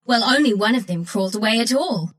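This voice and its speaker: A woman's voice: on the high side, expressive, fast. A woman voicing a cheeky, scrappy young squirrel-like bandit heroine in a video game, with a brash, playful, slangy way of talking. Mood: wry storytelling. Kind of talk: video game character voice lines.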